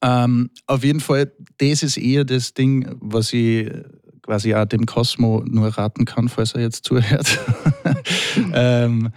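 A man in his thirties with an Austrian accent, a musician guest talking in a relaxed, rambling way with many fillers. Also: medium pitch, slow, smooth, no echo, studio quality. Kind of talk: casual interview talk.

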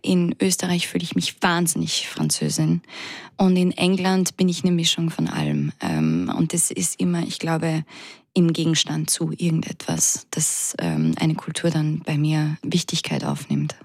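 A woman in her thirties with a low voice and a gravelly edge, recorded close and dry. She answers as an actress guest in a radio interview. She talks thoughtfully and often pauses to find the right word. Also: very animated, dry recording. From France. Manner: reflective, searching for words with many hesitations.

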